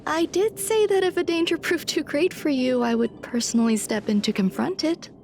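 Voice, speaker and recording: An actress voicing an aloof genius woman scientist in a video game, speaking in a measured, formal, detached way. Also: high voice, a little mellow, a little hiss or hum. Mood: detached, musing. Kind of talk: scripted video game dialogue.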